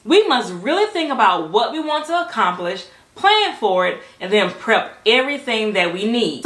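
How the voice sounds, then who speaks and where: Bright-voiced woman teacher in a roomy space. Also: fairly high pitch. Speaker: teacher and presenter. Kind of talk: instructional talk to camera for educators.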